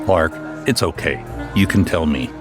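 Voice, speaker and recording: A man acts as a brooding, retired vigilante billionaire in an audio drama. His voice is very low, with a grave, confiding manner, and music plays clearly behind him. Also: moderate pace, lightly acted. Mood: concerned, friendly.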